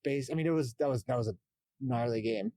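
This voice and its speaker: Male podcast co-host, mid-range voice, informal and halting, recorded dry. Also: mid-range voice, mellow, no echo. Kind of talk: casual chat about horror games and films.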